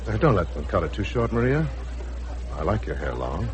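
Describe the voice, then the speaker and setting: Deep-voiced scheming husband, old radio. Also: male voice, big pitch swings, normal speed. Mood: controlling, tender. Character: suspicious, scheming, smug husband and ruthless businessman. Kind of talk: 1960s radio drama acting. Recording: noisy old radio drama recording.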